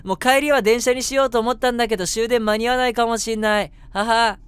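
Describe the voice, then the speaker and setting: A man's voice: extremely high pitch, steady tone, quick pace, a bit bright, clear, resonant tone, no room sound. A man playing an eager, submissive, lovestruck young man in an audio drama, chatting and rambling to the listener with self-mockery. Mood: nervous, giddy. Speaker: voice actor. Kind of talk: situation voice drama, first-person monologue to the listener.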